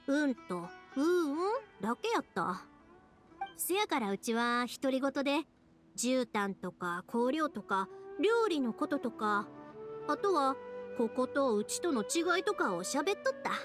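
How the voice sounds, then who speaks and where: A woman playing a cheerful, chatty young fireworks maker in a video game, speaking expressively with a Kansai accent. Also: high-pitched. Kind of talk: animated video game voice acting.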